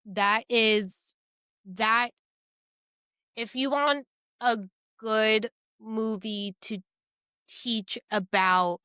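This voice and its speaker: A woman with a fairly high voice talks at a very relaxed pace. She co-hosts a casual podcast and speaks emphatically, not shy of swearing. The sound is very dry, with no room echo. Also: extremely slow, pauses often, smooth. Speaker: podcast co-host. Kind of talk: casual book discussion chat.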